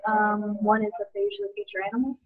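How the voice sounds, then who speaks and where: A teenage girl answering casually as a student, unsure and halting in how she talks. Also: mid-range voice, steady tone. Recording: thin phone-like virtual class call.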